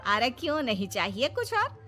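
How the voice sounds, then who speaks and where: A woman's voice: a little high, big pitch swings, moderate pace, over music. A woman voicing a bitter, scolding older mother-in-law in a family cartoon. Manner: complaining, scolding.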